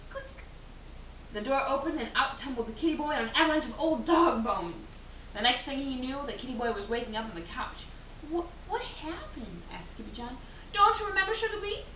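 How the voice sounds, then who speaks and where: A woman reads a storybook aloud with theatrical energy, and her high, bright voice rises and falls in a lively way. The recording is echoey and distant, with faint hiss behind it. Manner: animated, theatrical. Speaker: storybook reader. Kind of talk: dramatic read-aloud narration.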